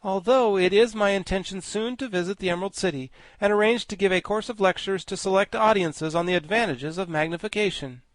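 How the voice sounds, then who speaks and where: A man voicing a pompous, learned male insect gentleman with grand, formal speech. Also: high voice, a little fast, hardly any pauses, dry recording, pitched above the performer's own voice, lightly acted. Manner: grandiloquent, formal, self-satisfied. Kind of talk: audiobook character voice.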